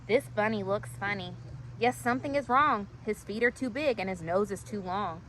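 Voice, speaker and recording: A woman storyteller reading a rhyming children's story aloud in an animated style. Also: mid-range voice, moderate pace, slight background noise, rough recording.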